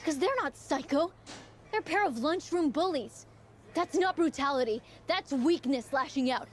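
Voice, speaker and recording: Young female wrestler, game cutscene: principled, earnest, plucky, high voice, slight background noise. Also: animated, moderate pace. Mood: firm, rousing. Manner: earnest, emphatic, colloquial. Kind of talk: video game cutscene acting.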